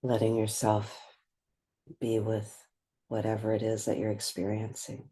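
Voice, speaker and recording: A woman leading a guided meditation, speaking gently and without hurry, leaving long pauses between phrases. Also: rumbling low, completely flat delivery. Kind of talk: guided meditation, slow and spoken softly.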